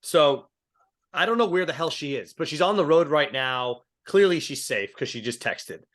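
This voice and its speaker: A chatty male co-host with a slightly high voice, rough and a bit under the weather from a cold. He talks casually and laughs often, connecting ideas as he goes. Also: expressive, normal speed. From Italian-American heritage. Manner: chatty, laughing, thinks out loud. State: sick, voice rough. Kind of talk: casual aftershow chat.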